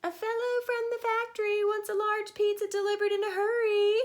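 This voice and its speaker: Busy baker's wife for a children's storybook played by a woman reader: very high, loud, urgent calling. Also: flat delivery, normal speed.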